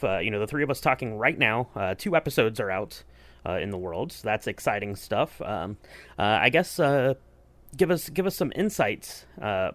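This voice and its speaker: A man's voice: on the low side, a bit bright, dry recording. Casual male podcast host, dry sound. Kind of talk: casual interview chat.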